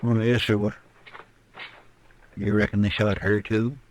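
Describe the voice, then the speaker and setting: A man's voice: on the low side, level tone, a little slow, lightly acted. Man as folksy sidekick, noisy old recording. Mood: doubtful, nervous. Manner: folksy, questioning, rambling. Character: folksy, talkative, anxious Old West deputy sidekick. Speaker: radio drama actor. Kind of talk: old-time radio western acting.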